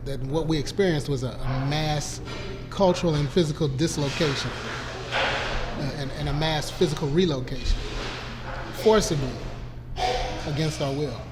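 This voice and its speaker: Halting man, slightly high voice. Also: very noisy. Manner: halting, searching for words. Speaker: panelist. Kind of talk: panel discussion.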